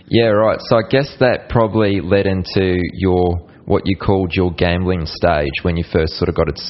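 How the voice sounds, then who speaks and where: A male interviewer with a low voice asks eager questions and leans on little fillers. The sound is dull and lo-fi. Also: steady tone, moderate pace. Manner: eager, leaning on the filler "sort of". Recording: dull lo-fi audio.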